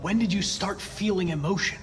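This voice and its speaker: A man's voice: high-pitched, normal speed, over music, lightly acted. An actor playing a polite, analytical young male android police investigator with a precise, composed way of speaking in a video game. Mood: probing, controlled.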